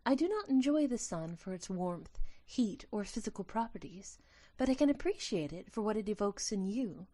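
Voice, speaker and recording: A woman's voice: medium pitch, animated, normal speed, warm tone, natural voice. A woman playing a tiny, delicate winged sprite in an audio drama, earnest and tender, with formal, solemn phrasing. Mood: wistful, solemn.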